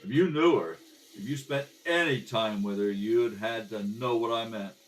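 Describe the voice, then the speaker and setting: A man playing a defensive, evasive ex-convict fry cook in an amateur radio crime drama. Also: fairly low pitch, normal speed, a little hiss or hum, lightly acted. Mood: scornful. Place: at a kitchen table. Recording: slightly distant home microphone.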